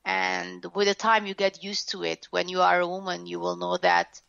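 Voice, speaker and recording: Lebanese woman guest, reflective and frank, gravelly edge, dry dull sound. Casual interview storytelling. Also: lowish voice, completely flat delivery, moderate pace, fairly bright tone, no echo. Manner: frank, reflective, lots of fillers and restarts. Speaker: guest, author and former TV host.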